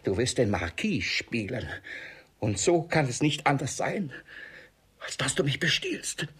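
An actor playing a miserly, suspicious, irascible old father in a classic comedy radio play, with a raspy voice and a grumbling, blustering manner. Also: medium pitch, very animated, measured pace, a bit bright, heavily acted. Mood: indignant scolding.

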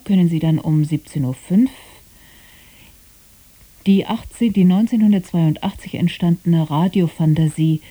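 A woman's voice: on the low side, warm tone. A woman reading scripted programme announcements on radio in a composed, formal manner.

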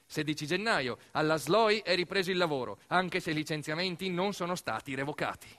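A man performs a dramatic narrative monologue as an actor, telling the story deliberately in short, weighted phrases. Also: a little high, expressive, pushed and bright. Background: music.

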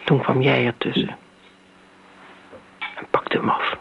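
Een actrice die in een hoorspel een verbitterde, scherpe volwassen nicht speelt, bot, spottend en verwijtend. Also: female voice, very deep voice, level tone, slow, vocal fry, exaggerated voice. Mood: accusing. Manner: blunt, sardonic, accusing. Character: bitter, sharp-tongued grown niece, imperious and mocking. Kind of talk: radio play acting. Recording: tinny, narrow old radio recording, hiss.

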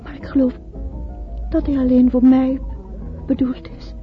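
High-pitched expressive lady, theatrical, lo-fi radio play recording. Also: a little slow, faint music behind. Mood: tender, wistful. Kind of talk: Dutch radio play acting. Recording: muffled old radio recording.

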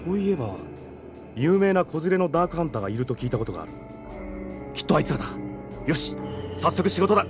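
A man's voice: on the high side, fast talker, pauses often, over music, close to an everyday voice. A man playing an ambitious, jealous, hot-headed young newspaper reporter in an anime audio drama. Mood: eager, ambitious. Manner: brash, excitable.

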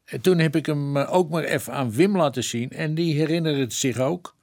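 A man's voice: on the high side, normal speed, gravelly, darkish tone. A man playing a folksy, plain-spoken police detective who defers to his inspector in a radio drama. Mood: eager, puzzled.

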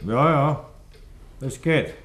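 A man's voice: medium pitch, very slow, a bit bright. An actor playing a simple, evasive yes-man at a village inn, with a Bavarian accent, in a 1950s dialect radio play. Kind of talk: 1950s German dialect radio drama acting.

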